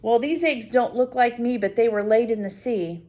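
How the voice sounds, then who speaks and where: An older woman reading a picture book aloud to small children, full of wonder, pausing to explain things simply and add asides. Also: a little high, mellow. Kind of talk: read-aloud story time with spontaneous asides to a child.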